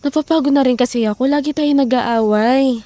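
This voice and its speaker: A woman's voice: high voice, brisk, mellow, no room sound, natural voice. A woman acting as a weary, frustrated wife and mother in hard times, emotional and reproachful, in an audio drama. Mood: weary, pleading. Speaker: voice actor.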